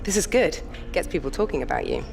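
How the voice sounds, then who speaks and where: A man's voice: fairly high pitch, wildly swooping pitch, fast, gravelly, faint music behind, heavily acted. An actor playing an upbeat male racing agent who talks in an encouraging, matter-of-fact way, heard as a distant in-game voice clip.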